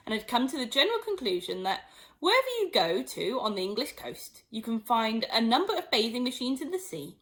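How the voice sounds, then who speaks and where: A woman reading a children's story aloud as an expressive audiobook narrator. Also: on the high side.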